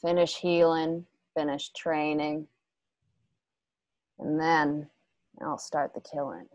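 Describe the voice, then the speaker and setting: A woman's voice: low voice, level tone, slow pace, a little mellow, no echo. An actress playing a resolute, vengeful woman rider in a Western radio drama, speaking tersely in rustic phrasing. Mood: grim resolve. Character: vengeful, resolute young gunslinging woman rider named Mia. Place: home, remote video call.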